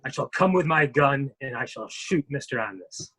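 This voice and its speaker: A man's voice: medium pitch, animated, normal speed, husky, exaggerated voice, rough recording. A man playing a genteel, blustering army major with formal, hedging speech in a murder mystery reading. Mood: evasive, blustering. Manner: formal, hedging, old-fashioned gentlemanly phrasing. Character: blustering, genteel military major running for Congress.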